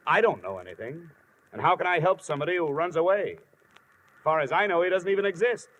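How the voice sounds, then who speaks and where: An American actor playing a hard-boiled, impatient investigator in a 1950s radio detective drama, with clipped, dry delivery. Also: male voice, a little high, lively intonation, brisk, a bit bright, slight background noise, poor audio. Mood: exasperated. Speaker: lead actor and first-person narrator. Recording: restored 1950 radio recording, organ music behind.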